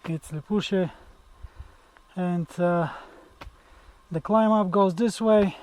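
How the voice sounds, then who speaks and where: A young man, a native Bulgarian speaker, vlogging on a mountain trail, talking to a handheld camera with many pauses and fillers. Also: sits high, a little mellow. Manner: slow, hesitant, many fillers. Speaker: hiking vlogger. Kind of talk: casual trail vlog.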